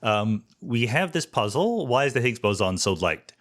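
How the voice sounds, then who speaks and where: A man's voice: medium pitch, very animated, normal speed. A man hosting a podcast interview, carefully summarizing ideas in his own words, with some stammered restarts. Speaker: podcast host.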